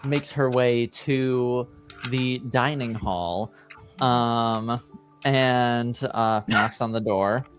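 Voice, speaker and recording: A game master improvising narration for a tabletop game in a halting, hesitant way. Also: mid-range voice, soft background music.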